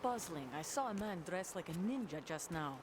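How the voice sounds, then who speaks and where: An actress with a Russian accent playing a tough female mercenary commander, sharp and blunt. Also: fairly low pitch, expressive, moderate pace, noisy background, close to an everyday voice. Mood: terse.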